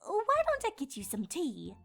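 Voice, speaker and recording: Woman doing a theatrical, high-voiced genteel lady unicorn. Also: wildly swooping pitch, moderate pace, gravelly, a bit bright, faint music behind, heavily acted. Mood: polite. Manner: theatrical, refined. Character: dramatic, genteel, doting female unicorn fashion designer. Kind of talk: cartoon fan-fiction voice acting.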